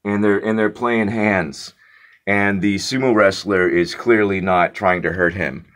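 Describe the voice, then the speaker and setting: Young man, lowish voice, vlog rant: exasperated, mocking, stop-start delivery with lots of repetition. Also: steady tone, a little slow, a bit bright. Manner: exasperated, mocking, repeats words for emphasis; frequent restarts and fillers. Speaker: vlogger. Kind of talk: casual rant to camera.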